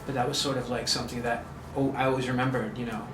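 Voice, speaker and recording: A man's voice: medium pitch, little pitch movement, fast, fairly bright tone, soft background music. A man, a guest in a casual interview, talking hesitantly with many ums and restarts. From United States. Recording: echoey room with clear background noise.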